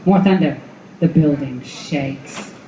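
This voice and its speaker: A woman's voice: low voice, slow, warm tone. A woman performing as the narrator of a noir radio play, telling it in clipped, vivid, dramatic phrases. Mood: stark, dramatic. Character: stark female noir storyteller. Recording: echoey live room with clear background noise.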